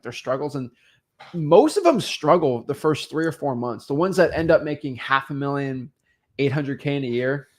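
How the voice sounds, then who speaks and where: A young man and host delivers a motivational coaching talk, pushing his points with rhetorical questions and constant "right?" check-ins. His voice sits somewhat high for a man and swings widely in pitch. The delivery is emphatic and lively throughout. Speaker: online real estate coach.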